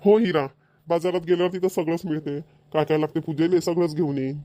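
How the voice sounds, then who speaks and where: A man's voice: on the high side, fairly even intonation, fast, warm tone, no room sound, natural voice. A man voicing a grumbling village father. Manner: rural Marathi dialect, grumbling, repetitive. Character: middle-aged village father, grumbling, scolding idle son. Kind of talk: cartoon voice acting.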